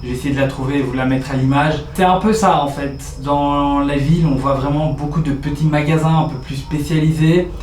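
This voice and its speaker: A man's voice: fairly high pitch, steady tone, a little fast, hardly any pauses, a little mellow. A teenage man from Belgium, chatting casually as he answers viewer questions about his exchange year. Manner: chatty, reads out questions then answers them. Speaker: exchange student vlogger. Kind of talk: casual vlog Q&A. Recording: roomy echo with faint ambient noise.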